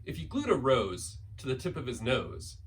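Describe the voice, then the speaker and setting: A man's voice: medium pitch, huge pitch swings, moderate pace, far from the mic, a little hiss or hum. A man giving a playful, theatrical read-aloud of a children's picture book, stretching key words and racing through piled-up lists. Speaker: school staff member reading a children's book aloud.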